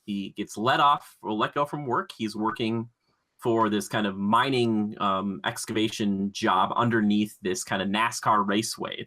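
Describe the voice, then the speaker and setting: A man's voice: fairly low pitch, sharp tone. A man co-hosting a podcast, talking casually and conversationally with enthusiasm about films. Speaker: podcast co-host.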